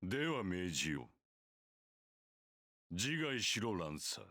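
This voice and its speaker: A man playing a cold, ruthless middle-aged priest in a visual novel, speaking in a measured, formal and commanding way. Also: low-pitched, very animated, dry recording, studio quality, heavily acted. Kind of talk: Japanese visual novel voice acting. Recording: game audio with loud music.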